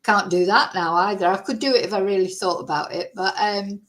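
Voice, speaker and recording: A woman from Manchester with a softened Mancunian accent, hosting a casual true-crime livestream, chatty and rambling, greeting viewers by name. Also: mid-range voice, steady tone, a little fast. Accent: softened Mancunian English. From Manchester, England.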